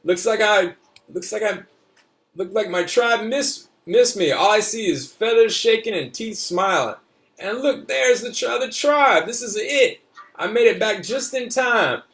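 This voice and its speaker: A man voicing an excited little boy at Mardi Gras, eager and wide-eyed, hollering in a singsong way. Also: sits high, unhurried, recorded from a distance, voice pushed up, heavily acted.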